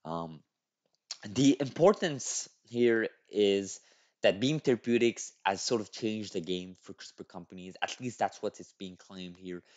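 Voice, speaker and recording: A man's voice: lowish voice, a little slow, darkish tone. A young man, not a native English speaker, reading and commenting on a thread as a channel host, restarting words and adding tag questions. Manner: repeats and restarts words, many "right?" tags. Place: small room at a desk. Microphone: headset. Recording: dull lo-fi audio.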